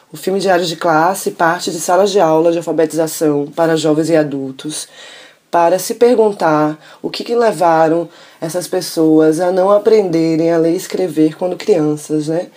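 Woman, middle-aged, deep mellow tone, unhurried filmmaker talk. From Salvador, Bahia, Brazil. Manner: measured, tags sentences with questioning asides, thoughtful pauses. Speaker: filmmaker presenting her documentary. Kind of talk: informal spoken presentation.

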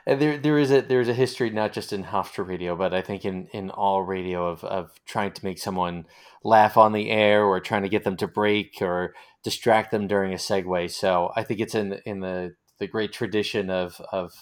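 A man hosting a conversational interview, speaking haltingly and repeating phrases as he thinks. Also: lowish voice, steady tone, clear, resonant tone. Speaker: interviewer, host.

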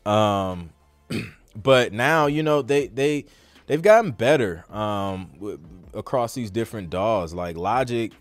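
Male podcast host, lower register, informal conversational delivery with slang, dry recording. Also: lively intonation, slow pace, dry recording, faint music behind. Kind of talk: casual live Q&A chat.

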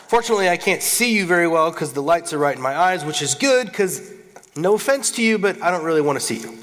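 A man's voice: high-pitched, fairly bright tone, big-room sound. A man in his thirties, a youth pastor, giving a casual, self-deprecating sermon full of jokes and stories from a church stage. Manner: self-deprecating, joking storyteller.

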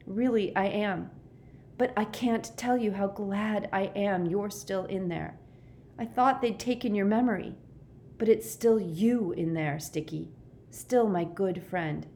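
A woman's voice: mid-range voice, unhurried, dark tone, a little hiss or hum, pitched above the performer's own voice, close to an everyday voice. A woman voicing a kind, steadfast boy in a storybook, earnest and reassuring. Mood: tender, sincere. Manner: earnest, reassuring, full of conviction.

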